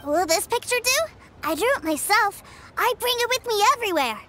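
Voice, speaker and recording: An actor voicing an excitable, innocent little boy in a video game, curious, proud and wide-eyed. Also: very high-pitched, fairly quick, a little hiss or hum, exaggerated voice. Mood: eager, curious. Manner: eager, wide-eyed, childlike.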